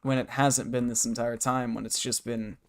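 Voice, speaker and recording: A hoarse man live-streaming a game from his bedroom desk, rambling and muttering to himself and his chat. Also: mid-range voice, level tone, moderate pace. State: hoarse, scratchy throat.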